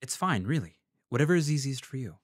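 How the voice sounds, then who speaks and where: A man playing an earnest freelance journalist in a fiction podcast, measured and tentative as he interviews and narrates. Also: medium pitch, sing-song. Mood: gentle, probing. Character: earnest, tactful male freelance journalist narrating his investigation. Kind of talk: fiction podcast acting, scripted first-person narration.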